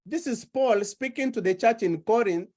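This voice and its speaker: A woman preaching a sermon, slow and deliberate, building her points through repeated phrases. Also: on the high side, darkish tone.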